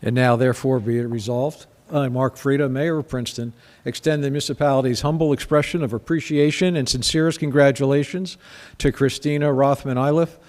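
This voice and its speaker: A man's voice: mid-range voice, a little fast. A man serving as mayor, chairing a council meeting with a formal, direct manner. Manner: formal when reading, then relaxed and direct. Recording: reverberant meeting room.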